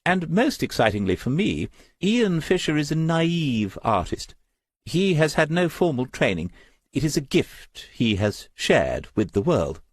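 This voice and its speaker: Man putting on a genteel, gushing lady gallery owner, high-pitched. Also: big pitch swings, a little slow, husky, no echo, studio quality, heavily acted. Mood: gushing, proud. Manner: pompous, affected. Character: genteel, self-important middle-aged female gallery owner, gushing sales talk. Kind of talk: audiobook character voice.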